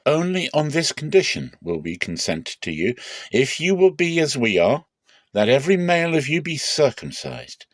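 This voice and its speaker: A man's voice: on the high side, very animated, normal speed, no room sound, voice pushed up. A man reading scripture dramatically as an offended, scheming brother who firmly lays down terms. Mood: firm, indignant.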